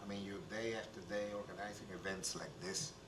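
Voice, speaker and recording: A man's voice: on the low side, fairly even intonation, fairly quick. A man, a city commissioner, giving an earnest and formal thank-you speech on stage. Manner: earnest and formal, with some restarts. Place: stage in an event hall. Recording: echoey hall with crowd noise, far from the mic.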